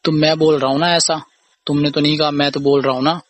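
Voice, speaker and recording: A man's voice: fairly high pitch, flat delivery, quick pace, fairly bright tone. A young man arguing casually in an accusing, repetitive, aggrieved way on a phone call recording. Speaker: boyfriend or husband on a phone call. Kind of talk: casual argumentative phone conversation.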